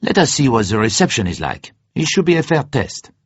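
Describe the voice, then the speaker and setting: Spy story role: male reader as a gleeful, theatrical French agent, swooping intonation, slightly husky, muffled recording. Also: mid-range voice, sing-song, fairly quick, no echo, pitched above the performer's own voice. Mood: brisk, businesslike. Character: jovial, theatrical French secret agent, delighted and conspiratorial.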